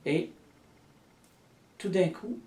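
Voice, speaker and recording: A woman's voice: extremely slow, dark tone, echoey room, far from the mic. A woman with a Québécois accent, a guest energy healer in a casual interview, talking in a rambling way with many restarts and fillers. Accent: Québécois French.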